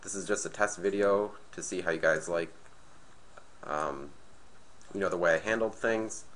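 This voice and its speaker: A man with a slightly raspy, nasal voice from being sick, casually commentating a card game match and thinking aloud as he plays. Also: low voice, moderate pace, a bit bright. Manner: thinking aloud, hesitant restarts. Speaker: gameplay commentator.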